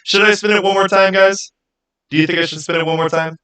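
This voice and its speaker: A man's voice: sits high, steady tone, fast, sharp tone. A man hosting a livestream, chatting informally with viewers and thinking aloud as he goes. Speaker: livestream host. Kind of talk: casual livestream chat with viewers.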